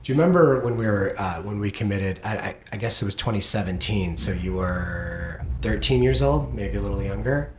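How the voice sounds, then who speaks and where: A man's voice: fairly low pitch, brisk, hardly any pauses. A middle-aged man hosting a casual conversational interview, speaking in an earnest, reflective way. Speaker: podcast host interviewing own teenage child. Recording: roomy echo, background noise.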